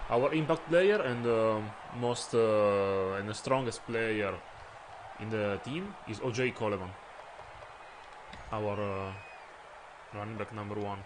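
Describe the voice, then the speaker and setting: A man's voice: lowish voice, takes it slowly, noisy background. Hesitant Italian-accented man streaming a game. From Italy. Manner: hesitant, many fillers, searching for words. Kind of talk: live game commentary.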